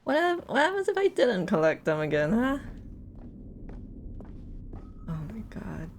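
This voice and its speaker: A woman's voice: medium pitch, very animated, fairly quick, noisy background. A woman livestreaming a game, musing aloud and reacting with exasperation in casual commentary.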